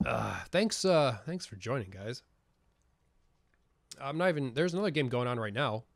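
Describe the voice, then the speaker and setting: A man casting a tournament match of a video game, with excited play-by-play and casual asides, sniffling from a cold. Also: mid-range voice, moderate pace, vocal fry, dry recording, clean studio sound. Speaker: esports caster. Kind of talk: game commentary.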